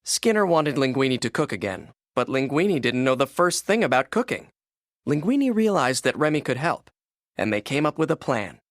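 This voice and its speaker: Animated male storybook narrator. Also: medium pitch, normal speed, no room sound, studio quality. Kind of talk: scripted children's read-along narration.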